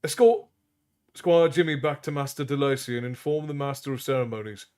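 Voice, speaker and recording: A man voicing a composed, authoritative prince who speaks calmly and low. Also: brisk, clear, resonant tone, lightly acted. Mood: commanding. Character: composed prince, commanding and wry.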